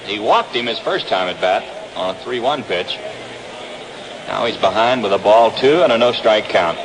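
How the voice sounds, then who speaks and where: A man's voice: on the low side, expressive, moderate pace. An American man calling baseball play-by-play in the style of a 1960s radio sports broadcast. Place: outdoor ballpark broadcast. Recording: noisy vintage 1962 radio broadcast.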